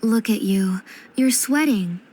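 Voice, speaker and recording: A woman voicing a caring, gently scolding mother in a video game, motherly and courteous. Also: medium pitch, lively intonation, slow, slightly rough. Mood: fussing, fond.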